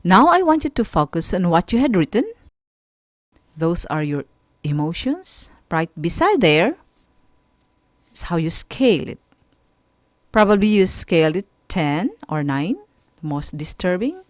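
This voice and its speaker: A woman with a Filipino accent teaching a radio lesson to students in a deliberate, didactic manner, often putting questions to the listener. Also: lowish voice, very animated, no echo, clean studio sound. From Philippines.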